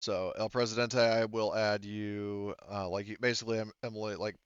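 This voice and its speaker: A man's voice: on the low side, steady tone, normal speed, smooth, no room sound, lo-fi sound. A man casually explaining things as game master in a tabletop roleplaying game.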